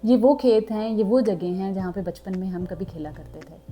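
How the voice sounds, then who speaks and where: Young woman vlogger; relaxed chatty voice-over, mellow timbre. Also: mid-range voice, moderate pace, soft background music. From Pakistan, southern Punjab. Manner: chatty, mixes in English words, frequent fillers. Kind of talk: casual chat to subscribers.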